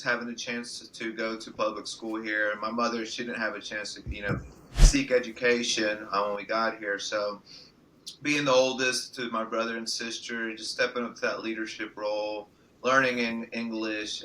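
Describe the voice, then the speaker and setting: A man's voice: lowish voice, pushed and bright, slight background noise, poor audio. A man born in Mexico and raised in Mississippi, a guest on a podcast, talking about his journey in an earnest, reflective way. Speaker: guest entrepreneur. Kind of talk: podcast interview.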